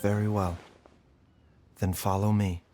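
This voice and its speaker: An actor playing a composed, considerate doctor in a video game, speaking in a measured, polite way. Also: male voice, on the low side, flat delivery, darkish tone, soft background music. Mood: calm, concerned. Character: composed adult male doctor, calm and considerate.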